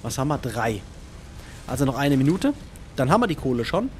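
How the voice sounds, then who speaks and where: A man's voice: medium pitch, sing-song, a little fast. A man with an ordinary mid-range voice talks his way through a video game session. He thinks aloud, counts things off and chats loosely to himself and his viewers. A faint background hiss runs under the recording. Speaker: let's play host. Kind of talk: casual gaming commentary.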